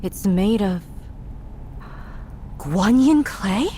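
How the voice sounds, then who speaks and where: An actress playing a proud, shrewd master thief in a game cutscene, sardonic and probing. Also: female voice, medium pitch, lively intonation, very slow, warm tone, slight background noise. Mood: challenging. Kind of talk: dramatic video game cutscene acting. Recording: music behind the voice.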